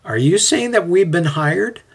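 A man's voice: high-pitched, lively intonation, unhurried, breathy edge, pitched above the performer's own voice, full character voice. A man narrating a story voices a modest, joking male apprentice tradesman with a casual, self-deprecating manner. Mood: wry.

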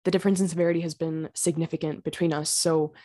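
A young woman hosting her own podcast, talking casually and earnestly, her throat slightly raspy from being sick. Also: sits low, steady tone, quick pace, warm tone. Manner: chatty and earnest, with fillers and small laughs. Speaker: podcast host. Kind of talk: casual solo podcast talk. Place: home.

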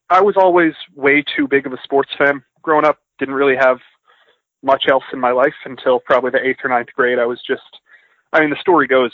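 A man's voice: mid-range voice, dry recording. A young man from outside Atlanta with a mixed Northern and Southern US accent, answering interview questions as a sports writer with wry, self-deprecating asides. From outside Atlanta, Georgia. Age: young adult. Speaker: sports beat writer, guest. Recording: tinny phone line.

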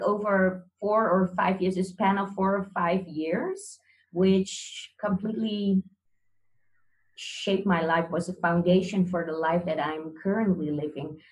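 A woman from the Netherlands with a Dutch accent, a guest in an interview over a video call, talking hesitantly with many pauses and restarts. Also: medium pitch, rough recording.

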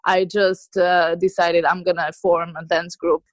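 Slovak woman, 30s, kinda low voice, talks loose w lots of uh and restarts, dry sound. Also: flat delivery, a little slow, no echo. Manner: chatty, many fillers and restarts. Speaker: guest, dance teacher. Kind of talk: casual interview answers.

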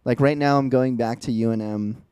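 Earnest young man, dark smooth voice. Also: mid-range voice, fairly even intonation, moderate pace, no echo, clean studio sound. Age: 20s. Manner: earnest, reflective storytelling. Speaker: podcast co-host. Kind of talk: casual chat.

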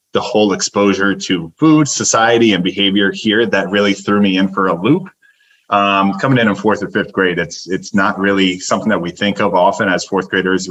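Deep-voiced young man, native Turkish speaker. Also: fairly bright tone, low-quality recording. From Turkey. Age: young adult. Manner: earnest, detailed storytelling. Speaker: registered dietitian guest. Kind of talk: podcast interview.

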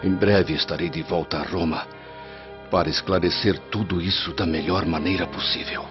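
A man's voice: sits low, steady tone, normal speed, close to an everyday voice. An actor playing a troubled, proud Roman nobleman in a radio drama, with formal, theatrical delivery. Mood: anguished. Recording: dull, band-limited radio recording, music bed.

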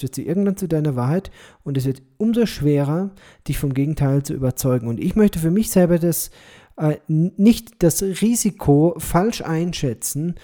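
A man's voice: fairly high pitch, dark tone. A man speaking alone on his podcast as a missionary, explaining his views in a reflective way with frequent hesitations and restarts. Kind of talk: solo podcast commentary.

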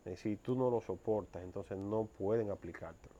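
A man's voice: lowish voice, brisk, mellow, dull audio. A man in his thirties with a Dominican accent, speaking as an interview guest in an explanatory, conversational way. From Dominican Republic.

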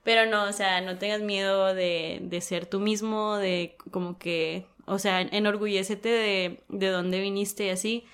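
A woman's voice: medium pitch, fairly even intonation, a little slow, hardly any pauses, fairly bright tone, clear, resonant tone. A young woman, a university student, chatting casually as an interview guest, laughing often and using many fillers. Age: young adult. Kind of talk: casual chat.

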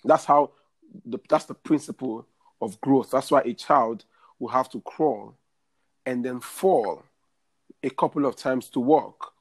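Interview guest: man, 30s, earnest, repeats himself for emphasis, mid-pitched voice. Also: a little slow, pauses often, breathy edge. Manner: earnest, repeats phrases while building a point. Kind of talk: career advice interview.

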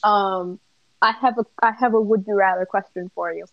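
A woman's voice: mid-range voice, steady tone, moderate pace, dry recording. A young woman on a group call with friends, laughing often and explaining things patiently. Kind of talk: casual chat. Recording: dull muffled computer mic.

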